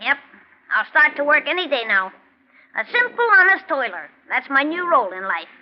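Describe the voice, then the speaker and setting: High-pitched, cocky little showman. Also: very animated, normal speed. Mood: earnest. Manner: breezy, slangy showman patter. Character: cocky, brash little male vaudeville acrobat, friendly. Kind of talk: 1940s radio acting. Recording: muffled, noisy 1940s radio recording.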